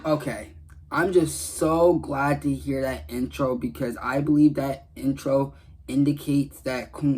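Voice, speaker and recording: A man's voice: medium pitch, darkish tone, low-quality recording. A man giving casual, earnest commentary on game dialogue in a rambling, repetitive way. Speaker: gaming video creator.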